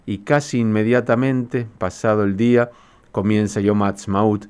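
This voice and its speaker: Lower-voiced man hosting a radio-style interview, measured. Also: steady tone, darkish tone, clean tone. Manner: measured, formal presenter delivery. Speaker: podcast host.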